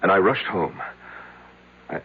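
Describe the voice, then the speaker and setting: An actor playing a self-loathing middle-aged recluse who confesses haltingly, in 1970s radio drama style. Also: male voice, low-pitched, animated, creaky, a little hiss or hum, heavily acted. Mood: anguished, ashamed. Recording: muffled old radio drama recording.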